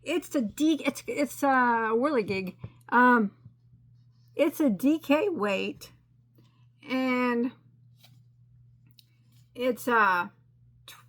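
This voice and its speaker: A woman talks casually about her crafting, her voice bright and a touch high. She rambles and restarts, joking about her own rookie mistakes. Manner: rambling and self-deprecating, with frequent restarts and laughs. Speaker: crochet channel host. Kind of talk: casual chatty crafting update.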